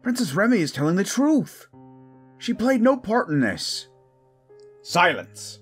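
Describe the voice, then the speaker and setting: A man's voice: sits high, big pitch swings, a little slow, breathy edge, soft background music, heavily acted. A man playing a loyal, protective commoner in a fantasy audio drama, earnest and informal in manner. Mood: defiant pleading. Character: loyal protective young male commoner, defiant but caring.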